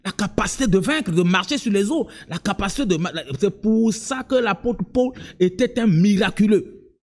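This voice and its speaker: Fervent preacher with a rising, sing-song voice. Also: male voice, normal speed. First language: not French. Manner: emphatic, swings from low asides to high sung-out cries; repeats words and restarts, talks to the listener directly. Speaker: apostle preaching. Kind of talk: sermon.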